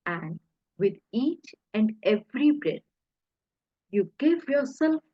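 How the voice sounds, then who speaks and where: A woman leading a guided hypnosis relaxation in a soothing, deliberate way, pausing between short phrases, with a husky, breathy voice. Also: mid-range voice, dry recording, lo-fi sound.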